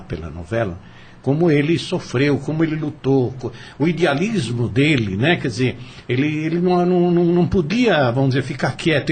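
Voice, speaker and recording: An older Brazilian man hosting a radio panel discussion, speaking haltingly and repeating words as he explains. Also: medium pitch, darkish tone, slight background noise. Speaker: radio host.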